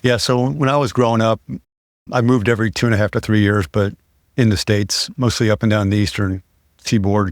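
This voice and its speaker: A man hosting a podcast, chatting casually and asking his guest relaxed questions. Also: on the low side, no echo, studio quality. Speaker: podcast host.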